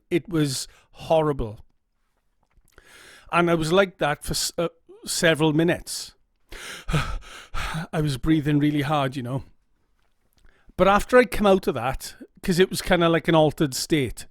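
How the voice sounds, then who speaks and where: A man's voice: a little high, no room sound, clean studio sound. A middle-aged man with a Welsh accent telling his own story to camera, earnest and candid, often checking in with "okay?". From Wales. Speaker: vlogger. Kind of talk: personal story told to camera. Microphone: camera mic.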